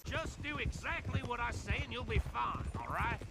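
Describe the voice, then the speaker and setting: A man's voice: very high-pitched, animated, normal speed, creaky, very noisy, heavily acted. A man voicing a bossy, easily offended ex-army outlaw with an American accent in a western video game. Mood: eager, bossy. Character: hot-headed, bossy ex-army Old West outlaw man.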